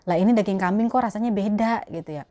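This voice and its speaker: A woman's voice: medium pitch, fast, dark tone, a little hiss or hum. A young adult woman with a Betawi Jakarta accent, chatting casually and colloquially to camera as a vlogger answering viewer questions. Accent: Betawi Jakarta Indonesian. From Jakarta, Indonesia. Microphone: clip-on lapel mic.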